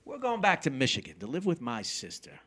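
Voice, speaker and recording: A man playing a crafty, domineering husband in a crime drama, loud, wheedling and blustery. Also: medium pitch, wildly swooping pitch, fairly quick, breathy edge, exaggerated voice. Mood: jovial, expansive. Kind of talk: theatrical crime drama acting.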